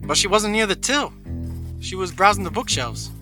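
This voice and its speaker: A man's voice: high-pitched, expressive, moderate pace, music in the background. An actor playing an anxious, earnest, hapless young male shop clerk in a comic noir audio play. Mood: sheepish, worried.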